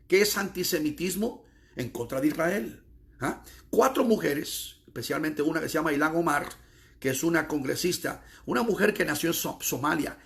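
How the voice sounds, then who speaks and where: Middle-aged US-born evangelist, raspy fry, a bit high, forceful sermon delivery with repetition. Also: male voice. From United States. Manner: emphatic, repeats phrases, stretches key words. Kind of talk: preaching monologue.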